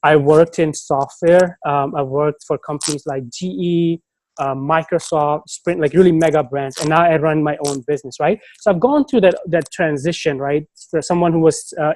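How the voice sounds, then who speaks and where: A man's voice: fairly high pitch, moderate pace, darkish tone, slight background noise. A man, not a native English speaker, chatting as an interview guest, rambling with many fillers and restarts. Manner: rambling, heavy on "you know" and restarts. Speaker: guest, startup advisor. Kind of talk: casual interview chat.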